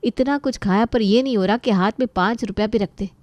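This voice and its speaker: Woman playing a grumbling, high-voiced young daughter-in-law. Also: brisk, darkish tone, dry recording, natural voice. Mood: resentful. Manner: complaining, exasperated.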